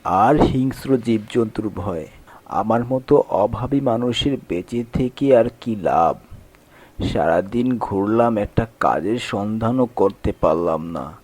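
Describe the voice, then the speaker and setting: A man's voice: on the low side, takes it slowly, a little mellow, slightly rough. A man voicing a weary, poor, honest village day-labourer in a cartoon fairy tale, plaintive and earnest. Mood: despairing.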